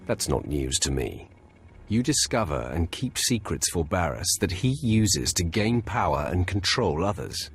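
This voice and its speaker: Very deep, menacing Sith warrior. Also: male voice, big pitch swings, measured pace, breathy edge, heavily acted. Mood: firm, controlled. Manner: terse, commanding. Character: menacing male Sith warrior, commanding and cold. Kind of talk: video game voice acting.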